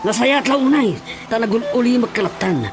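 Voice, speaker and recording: Gravelly, menacing uncle. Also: male voice, very high voice, animated, fairly quick, over loud music. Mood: bullying threat. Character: menacing uncle threatening his young relative. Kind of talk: radio drama acting.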